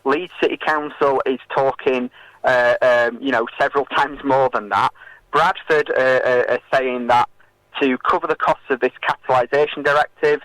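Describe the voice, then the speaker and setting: A British man speaking as a campaigner in a radio interview, explaining and arguing in a halting way with many filled pauses. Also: mid-range voice, steady tone, a bit bright, no room sound, poor audio. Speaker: political campaigner and interview guest.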